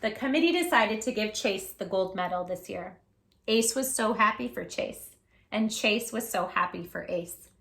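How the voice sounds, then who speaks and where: Bright-voiced woman reading a storybook aloud. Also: medium pitch, moderate pace, gravelly, roomy sound. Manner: gentle, expressive, affectionate. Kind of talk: children's picture-book read-aloud.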